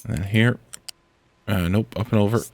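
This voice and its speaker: A man's voice: lowish voice, fairly even intonation, normal speed, a little mellow. Congested man muttering through a game stream. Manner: thinking aloud, muttering to himself while building. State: congested from a head cold. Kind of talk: casual let's-play commentary.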